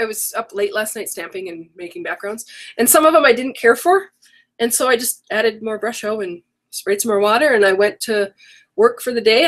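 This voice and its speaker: A woman's voice: on the high side, fairly bright tone. A middle-aged woman hosting an online craft workshop, rambling and correcting herself as she goes, her voice worn from a coming cold. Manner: chatty, rambling, self-correcting. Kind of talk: casual live-stream chat.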